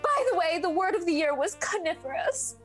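A woman playing a shrill, sobbing rich widow. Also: sing-song, a little fast, a bit bright, soft background music, heavily acted. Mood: weepy. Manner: sobbing laughter, haughty and shrill. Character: melodramatic wealthy widow, secretly the murderer. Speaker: student comedy performer. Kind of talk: comedic radio play acting.

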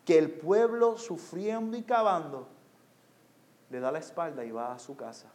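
Male preacher, high-voiced, native English speaker. Oratorical sermon delivery, deliberate phrasing, shifts from hushed to forcefully proclaimed. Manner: oratorical, swinging from hushed lines to loud emphatic proclamation; deliberate phrasing with rhetorical questions. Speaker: pastor preaching to a congregation. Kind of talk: expository sermon.

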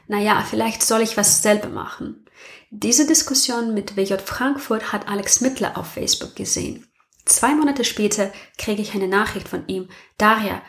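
A young woman who speaks German as a non-native, hosting her podcast in an earnest, reflective monologue and putting questions to her listeners. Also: a little high. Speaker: podcast host.

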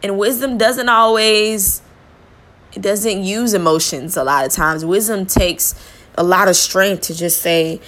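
A woman's voice: medium pitch, measured pace, a bit bright. A young woman talking candidly and reflectively about listening and relationships, in a casual, earnest way. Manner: casual speech with fillers and restarts. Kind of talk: reflective personal talk.

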